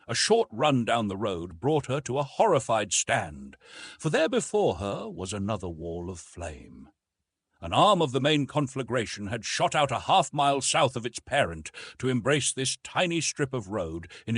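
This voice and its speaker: A man's voice: mid-range voice, fairly bright tone, slightly rough, dry recording. A man reading an adventure novel aloud as dramatic scripted narration. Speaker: audiobook narrator.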